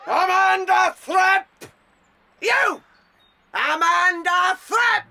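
An actress playing a bullying, child-hating British headmistress in a dramatized children's audiobook, domineering, blustering and contemptuous. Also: female voice, very high voice, drawn-out words, sharp tone, dry recording, some chatter behind, full character voice. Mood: enraged. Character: tyrannical, bullying headmistress who despises children.

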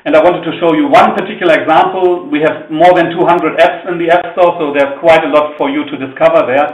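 German-accented male presenter, somewhat high voice, halting explanatory delivery, roomy webinar audio. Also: steady tone, muffled recording. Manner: explanatory, many fillers. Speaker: product manager. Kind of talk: software demo webinar.

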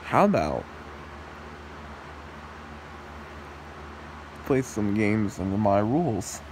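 Possessive demon husband, played by a man, ASMR roleplay: very slow, taunting, gravelly, faint noise behind. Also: lowish voice, a little mellow, rough recording. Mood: menacing playful.

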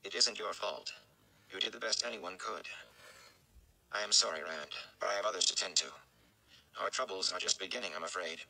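A man's voice: low voice, moderate pace, gravelly, pauses often, pushed and bright, exaggerated voice, poor audio. A man voicing an exhausted, compassionate village wisewoman in a fantasy audiobook, firm and plain-spoken. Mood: gentle.

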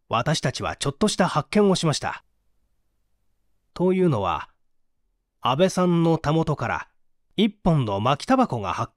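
Man reading as a methodical, animated police detective. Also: fairly high pitch, no room sound, studio quality. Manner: formal, orderly, explanatory. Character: methodical male police detective explaining his deductions formally. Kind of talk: dramatic audiobook reading.

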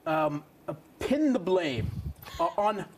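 A man in his forties with a rather high voice argues as a foreign affairs analyst. His delivery is halting but emphatic, with a scornful edge, in a TV studio debate. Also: sing-song, slight background noise. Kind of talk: political panel debate. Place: TV studio at a round desk.

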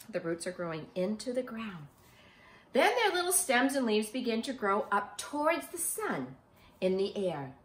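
Middle-aged female preschool teacher, very lively storybook read-aloud, emphatic and child-directed, in a roomy living room. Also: mid-range voice. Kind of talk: picture-book read-aloud for young children with simple questions. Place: small living room, indoors on a sofa. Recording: roomy home recording.

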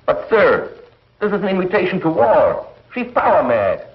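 An actor playing an agitated, dutiful space commissioner with emphatic, clipped delivery in 1950s television serial style. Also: male voice, sits high, very animated, normal speed. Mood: urgent, dutiful. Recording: muffled 1950s film soundtrack.

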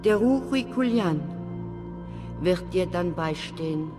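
A man's voice: sits high, animated, over music. An actor playing an aged, sorrowful, motherly wise woman in a radio drama, speaking solemnly like an oracle. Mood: gentle, grave. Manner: slow, solemn, oracular. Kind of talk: literary radio drama acting.

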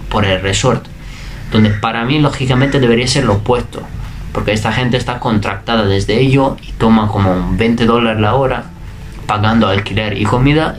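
A young man in his twenties from Italy with an Italian accent, speaking Spanish as a second language in a relaxed interview, sometimes pausing to search for words, recorded in a bedroom. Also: mid-range voice, slight background noise. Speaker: interviewee, waiter. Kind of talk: casual interview answers. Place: bedroom indoors.

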